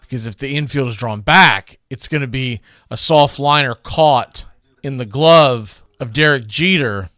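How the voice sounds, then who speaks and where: A man's voice: mid-range voice, unhurried, sharp tone, dry recording, studio quality. A man hosting a baseball radio show, introducing classic game calls in a conversational, opinionated way.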